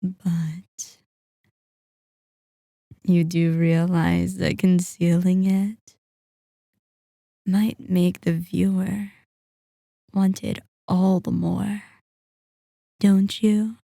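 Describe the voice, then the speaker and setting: A woman playing a seductive, sadistic vampire in an ASMR roleplay, courtly and teasing, with breathy murmurs. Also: fairly low pitch, level tone, very slow, pauses often, mellow, no room sound, clean studio sound, heavily acted. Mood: seductive, admiring. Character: seductive, sadistic, possessive female vampire, toying host. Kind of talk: ASMR roleplay, scripted character acting.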